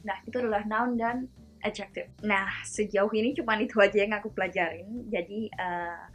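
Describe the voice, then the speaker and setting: A chatty woman from Indonesia hosts a casual video lesson at a middle pitch. She stops to sound out sample words slowly, one at a time. Soft music plays faintly behind her. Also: steady tone. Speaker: video host teaching pronunciation. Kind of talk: casual vlog-style lesson.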